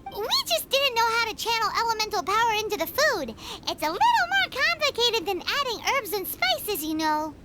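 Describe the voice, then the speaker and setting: A woman voicing a tiny, cheeky, childlike fairy companion in a video game, with a squeaky, exclamatory delivery. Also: big pitch swings, normal speed, few pauses, loud music behind the voice. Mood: matter-of-fact, eager. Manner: speaks of herself in third person, exclamatory. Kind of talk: cartoonish video game voice acting.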